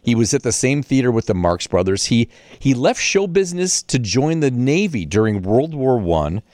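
A man's voice: medium pitch, sing-song, moderate pace, a little mellow, no room sound, clean studio sound. A man hosting a radio interview, moving between scripted introduction and conversational questions. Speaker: radio host.

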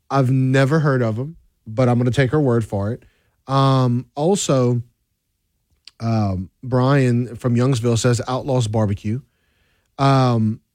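A man from southern Louisiana hosting a casual radio talk show with a softer voice and a halting, conversational way of talking, close on a studio mic. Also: medium pitch, no echo. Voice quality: softer, less projecting voice. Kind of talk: casual radio chat with listener messages. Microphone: close studio microphone.

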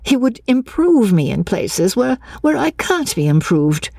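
A woman voicing an excitable, desperate young Englishwoman in an audiobook, gushing breathlessly and stammering over repeated words. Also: a little high, measured pace, creaky, no echo, clean studio sound, pitched above the performer's own voice, exaggerated voice. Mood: flustered. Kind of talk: audiobook character dialogue.

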